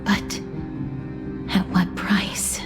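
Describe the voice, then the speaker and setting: A woman playing a serious, observant warrior in a video game, speaking in a grave, formal and deliberate manner. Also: over loud music. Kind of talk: dramatic video game voice acting.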